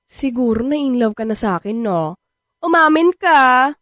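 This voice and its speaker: A woman's voice: sits high, lively intonation, moderate pace, fairly bright tone, no echo, studio quality. An actress playing a playful, pushy young Filipino woman in a radio drama, coquettish and wheedling as she teases. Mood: teasing.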